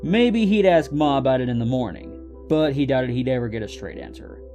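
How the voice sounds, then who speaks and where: A man's voice: mid-range voice, big pitch swings, brisk, dark tone, soft background music. A man narrating a scripted story as the host of a fiction reading.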